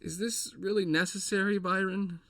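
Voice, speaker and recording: Man doing a cowed, creaky drunken father. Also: high-pitched, fairly even intonation, normal speed, pushed and bright, no echo, recorded from a distance, voice pushed up. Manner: stammering, submissive. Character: cowed drunken father and tavern keeper, pleading.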